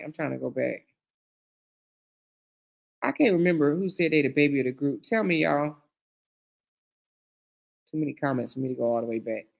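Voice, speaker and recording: A woman's voice: low-pitched, gravelly. A middle-aged woman hosting a live stream, reading viewer comments aloud and reacting in a chatty way, calling people girl, honey and child. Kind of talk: casual chat.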